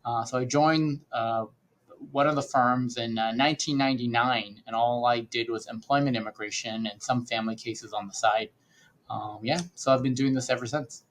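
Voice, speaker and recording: A man's voice: mid-range voice, fairly even intonation, moderate pace, low-quality recording. A middle-aged man with a Boston accent, a guest attorney telling his story in a frank, conversational way.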